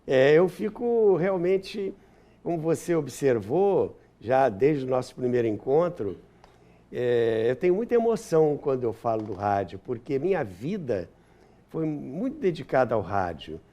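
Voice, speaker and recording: A man's voice: medium pitch, sing-song, measured pace, warm tone, muffled recording. A man in his seventies with a Brazilian accent, reminiscing in an interview in an emphatic, conversational way. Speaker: interview guest, radio veteran and author.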